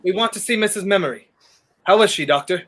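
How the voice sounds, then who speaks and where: Man playing young gentleman hero, earnest, determined, wrongly accused, old-time radio drama. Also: high-pitched, fast. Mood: urgent, insistent. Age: young adult. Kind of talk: 1930s radio play acting.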